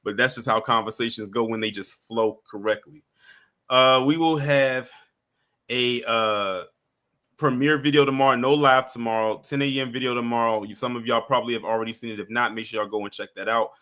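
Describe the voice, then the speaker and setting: A man's voice: mid-range voice, steady tone, a little fast, pushed and bright. A man hosting a casual livestream, reading out viewer comments in a laid-back way with frequent chuckles. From US East Coast. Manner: laid-back, chuckles often, trails off and restarts. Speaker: livestream host.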